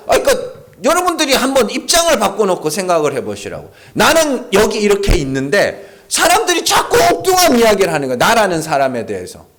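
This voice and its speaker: A man preaching to his congregation at a Friday prayer service, pitched a bit high with a swooping, lively delivery. He talks to them conversationally, pressing points home emphatically and peppering the sermon with rhetorical questions. He sounds engaged and insistent throughout. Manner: emphatic and animated. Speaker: pastor. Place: church sanctuary.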